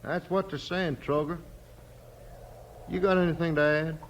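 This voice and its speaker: Casting: fair-minded American lawman, high male voice, steady authority, vintage radio western with faint noise. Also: a little slow, muffled recording. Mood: calm authority. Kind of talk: 1950s western radio acting.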